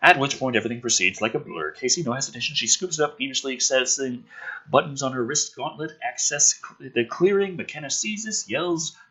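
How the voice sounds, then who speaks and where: Male script reader and commentator, bright, forceful and very animated, sardonic edge, slightly husky. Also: mid-range voice, brisk, low-quality recording. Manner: sardonic, emphatic, profane. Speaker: host reading a film script aloud with his own commentary. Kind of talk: dramatic script reading with sarcastic asides.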